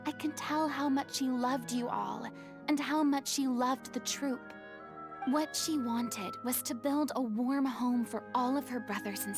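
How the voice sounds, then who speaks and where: A woman voicing a poised young woman who firmly scolds and guides a quarreling theater troupe. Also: sits high. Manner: stern, firm, theatrical. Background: game music.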